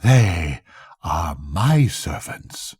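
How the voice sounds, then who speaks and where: An older man voicing Avarice, a miserly, possessive, defiant female spirit, in slow, emphatic archaic speech for a bedtime story. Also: low-pitched, sing-song, a little mellow, breathy edge, no room sound, clean studio sound, voice pushed down, heavily acted. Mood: guarded.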